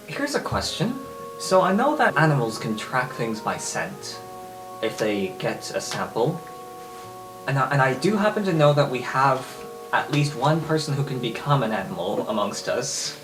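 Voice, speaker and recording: A man's voice: mid-range voice, big pitch swings, moderate pace. A man talking casually as a player in a tabletop game. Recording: echoey room with music.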